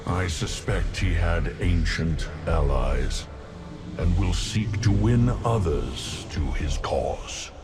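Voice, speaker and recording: A man playing an ancient, solemn immortal lord of death in a video game, speaking in a grave, portentous, measured manner. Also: sits low, lively intonation, loud music behind the voice. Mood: grave foreboding. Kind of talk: video game cinematic acting.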